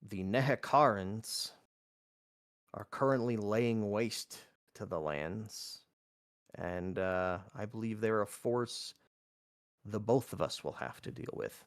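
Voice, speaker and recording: A man playing a guarded male sorcerer in a tabletop game, speaking formally and haltingly. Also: fairly low pitch, lively intonation.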